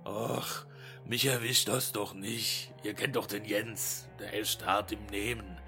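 A man's voice: fairly low pitch, steady tone, takes it slowly, vocal fry, sharp tone, faint music behind, voice pushed down, full character voice. A man voicing a self-assured working man in his fifties, boastful and offhand, in an audio story. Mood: cocky.

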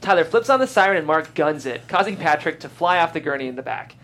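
A man's voice: on the high side, expressive, fast, fairly bright tone. A man reading screenplay stage directions aloud as the narrator of a live table read. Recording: faint background noise.

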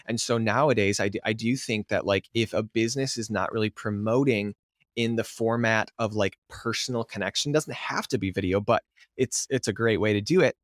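A young man talking casually as a podcast guest, animated and excitable, peppering his talk with fillers and laughs. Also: fairly low pitch, brisk, no room sound, clean studio sound. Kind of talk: casual conversational interview.

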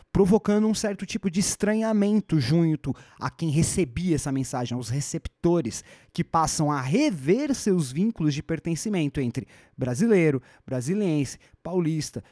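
A man's voice: fairly high pitch, warm tone, no echo, clean studio sound. A man with a Brazilian Portuguese accent hosting a podcast, explaining history in a thoughtful conversational way, often restarting his phrases and adding rhetorical asides. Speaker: podcast host.

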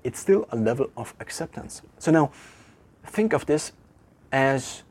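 A German-accented man talks alone to a camera in an empty room, working through his thoughts in a loose, conversational way. His voice is strongly husky, and he often stops mid-phrase to restart or correct himself. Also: mid-range voice, expressive, a little mellow. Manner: thinking aloud, halting with restarts and self-corrections. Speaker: vlogger. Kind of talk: casual reflective monologue to camera.

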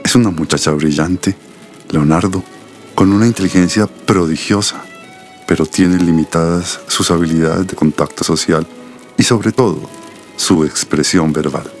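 An actor playing an earnest, confiding middle-aged doctor and father in a radio soap opera, with a Colombian accent and measured, solemn delivery. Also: sits low, faint music behind. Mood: earnest, explanatory.

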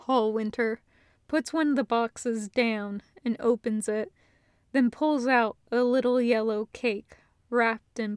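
A woman reads fiction as a narrator, with an understated storytelling manner. Her pitch is fairly high and her pace very slow. The recording is dry and free of room sound. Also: clean tone, no echo. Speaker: audiobook narrator. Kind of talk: scripted fan fiction narration.

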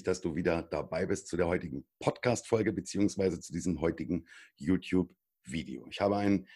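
A man's voice: low-pitched, a little mellow. A man hosting a podcast interview, introducing his guest with upbeat enthusiasm. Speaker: podcast host.

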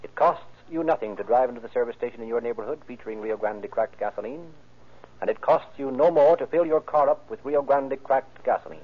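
A man's voice: mid-range voice, a little fast. An American man announcing and narrating from a script in 1930s old-time radio style. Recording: muffled, band-limited old radio recording.

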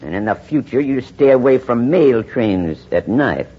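An actor playing a menacing, contemptuous mob leader in a 1940s radio drama, drawling slow threats. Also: male voice, mid-range voice, animated, slightly rough. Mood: dismissive. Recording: dull 1940s radio broadcast recording.

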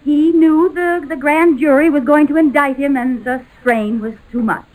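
An actress playing a quick-witted, scheming wife in a 1940s radio comedy, wisecracking and mock-solemn as she improvises lies. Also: high voice, darkish tone, close to an everyday voice, rough recording. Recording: old 1940s radio recording, faint noise.

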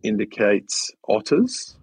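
Man, husky, gravelly voice, casual podcast explainer, dry close mic. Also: fairly low pitch, slow, no room sound. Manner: explains in a steady way, with many restarts. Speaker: podcast guest, youth and athlete mentor.

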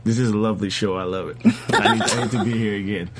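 Laid-back deep-voiced man from Ivory Coast. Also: steady tone, quick pace, a loud crowd. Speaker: guest, fashion designer. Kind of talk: casual interview chat.

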